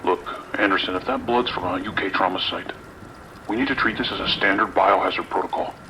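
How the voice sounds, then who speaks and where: A man playing a brisk, authoritative police lieutenant in an audio drama, dry and clipped, with an American accent. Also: sits low, pushed and bright, poor audio. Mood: firm, businesslike. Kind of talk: naturalistic audio drama acting. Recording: faint noise, rain and radio behind.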